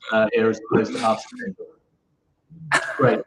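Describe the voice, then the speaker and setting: A man's voice: lowish voice, completely flat delivery, measured pace. A man hosting a live business interview in a casual, conversational way, with somewhat hesitant phrasing, recorded in an echoey room. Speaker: host, company co-founder. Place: indoor room, online live stream. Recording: roomy, muffled microphone with background noise.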